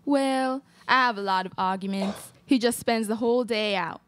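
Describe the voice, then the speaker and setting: A woman's voice: a little high, normal speed, dry recording, lightly acted. A young woman playing an aggrieved, accusing wife in a student radio play at a close microphone. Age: young adult. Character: indignant, accusing wronged Western wife. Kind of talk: student radio theatre acting. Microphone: close stage microphone.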